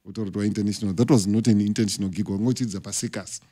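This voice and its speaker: A middle-aged man with a Zimbabwean accent holds forth in a studio podcast, rattling quickly through his points. His voice is mellow and dark, and he presses each point home with emphasis. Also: lowish voice, steady tone. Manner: emphatic, lecturing, talks with his hands. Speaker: podcast guest, music industry figure. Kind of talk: podcast interview, mixing English and Shona. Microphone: studio mic on boom arm.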